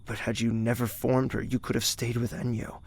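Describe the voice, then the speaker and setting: A man plays a young royal heir in an audio drama. His voice has a gravelly creak and a soft, hesitant delivery. He comes across as pensive, gentle and unsure of himself. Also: medium pitch, little pitch movement, brisk, a little hiss or hum, lightly acted. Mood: quiet, curious.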